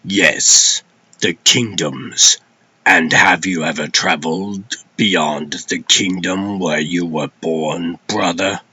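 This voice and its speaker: A man's voice: low voice, fairly even intonation, takes it slowly, pushed and bright, husky, heavily acted, poor audio. A man playing an old, stern warrior god and lawman in a comic dub, speaking in grave, archaic, commanding oratory. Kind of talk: theatrical comic dub acting.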